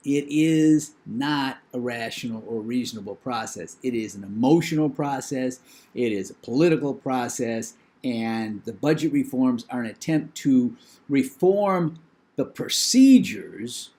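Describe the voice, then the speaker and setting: An older man with a New England accent giving a recorded lecture in a measured, opinionated way, with thinking pauses and fillers. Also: mid-range voice, darkish tone.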